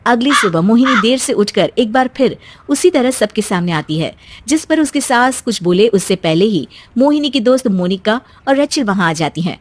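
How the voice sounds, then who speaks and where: A woman's voice: on the high side, lively intonation, moderate pace, few pauses, a little hiss or hum. A woman giving scripted narration for a cartoon story.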